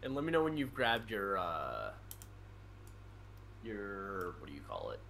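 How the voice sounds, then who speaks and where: A man's voice: on the low side, huge pitch swings, unhurried, creaky, bright, forceful tone, a little hiss or hum. A man hosting an online card game with friends, talking casually and swearing freely. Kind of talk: casual profane gaming banter.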